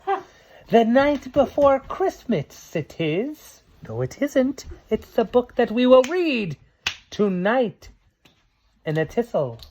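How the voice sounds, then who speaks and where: A man reading rhyming verse aloud to children like a father at storytime, theatrically, with dramatic pauses and emphasis. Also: very high voice, big pitch swings, darkish tone, a little hiss or hum.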